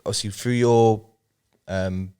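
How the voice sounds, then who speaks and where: A man's voice: on the low side, steady tone, slow, mellow, clean tone. A man hosting a casual interview, chatty and full of fillers like "like" and "obviously". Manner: chatty, filler-heavy, says "like" and "obviously" a lot. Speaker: podcast host.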